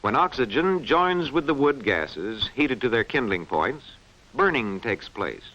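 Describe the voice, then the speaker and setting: A man reads scripted instructional film narration in a clearly enunciated, didactic way. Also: medium pitch, sing-song, a little slow, sharp tone. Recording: dull, band-limited vintage film soundtrack.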